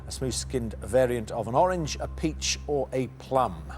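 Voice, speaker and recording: A middle-aged man hosting a TV quiz in a studio, reading questions briskly and teasing the contestants. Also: medium pitch, animated, slight background noise. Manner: brisk, teasing, reads multiple-choice questions. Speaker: quiz show host.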